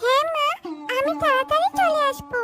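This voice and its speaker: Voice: very high voice, fairly even intonation, brisk, over music. An actor voicing a sweet, eager little girl fox in a children's cartoon, childlike and coaxing. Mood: sweet, reassuring.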